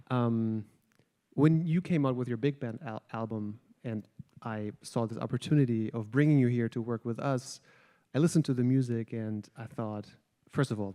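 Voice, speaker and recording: A man's voice: medium pitch, dark tone. A man hosting an onstage interview with guest musicians, speaking in a friendly, hesitant way with many ums and uhs. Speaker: host, jazz studies director.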